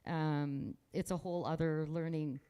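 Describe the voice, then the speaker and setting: A woman's voice: low-pitched, fairly even intonation, unhurried, warm tone, clean tone, lo-fi sound. A woman artist from rural Manitoba talking casually about her work in an interview, outspoken and proud, with frequent restarts. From rural Manitoba, Canada.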